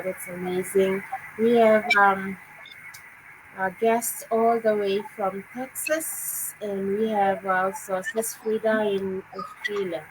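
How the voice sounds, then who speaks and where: Woman hosting a noisy video call. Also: medium pitch. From Papua New Guinea. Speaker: host. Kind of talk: casual live video chat.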